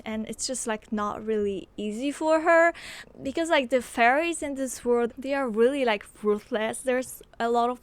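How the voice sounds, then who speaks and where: Young woman, chatty book review, smooth clear voice, dry close mic. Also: a little high, dry recording. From Poland. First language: Polish. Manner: chatty and enthusiastic, many fillers like "like" and "um". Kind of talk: casual book review vlog. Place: small bedroom with bookshelves, indoors. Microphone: handheld microphone close to mouth.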